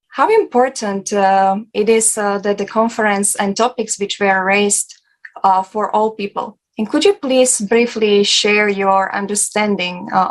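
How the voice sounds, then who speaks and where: Woman cohost doing a scripted presenter read for an online panel, medium pitch. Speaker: co-host of an international online discussion.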